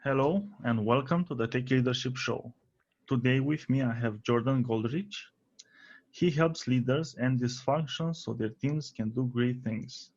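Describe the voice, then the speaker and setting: A man's voice: medium pitch, moderate pace, darkish tone. Man hosting a podcast interview. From Romania. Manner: friendly, laughs often, fillers. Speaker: podcast host. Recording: slightly dull lo-fi audio.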